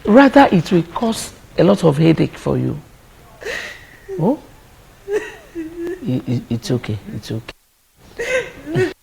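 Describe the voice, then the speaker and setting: A woman's voice: medium pitch, wildly swooping pitch, slow, gravelly, pauses often, mellow, heavily acted. An actress playing a mother firmly soothing her crying daughter. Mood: coaxing. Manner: firm, coaxing.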